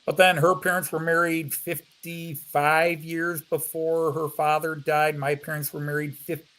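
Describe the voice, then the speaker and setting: A man's voice: on the high side, level tone, normal speed, fairly bright tone, a little hiss or hum, poor audio. An American man in his fifties chatting casually on a live call, joking and self-deprecating. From United States. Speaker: model railway streamer.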